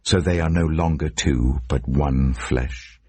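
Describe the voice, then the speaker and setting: A man reading scripture as a narrator in a solemn, measured, dramatic storytelling delivery. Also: extremely low pitch, a little mellow, breathy edge, no room sound. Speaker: Bible narrator.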